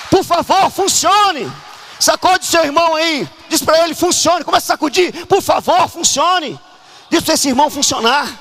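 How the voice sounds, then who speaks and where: A man from Brazil preaching a fervent charismatic sermon at a church conference, often shouting and urging the congregation to act. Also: very high voice, gravelly, a little hiss or hum.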